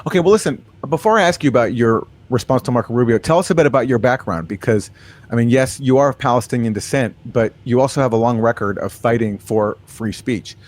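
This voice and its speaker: A man's voice: mid-range voice, fast. A man hosting a political talk show, introducing a guest and asking pointed questions in a conversational way. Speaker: show host. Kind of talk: political commentary interview.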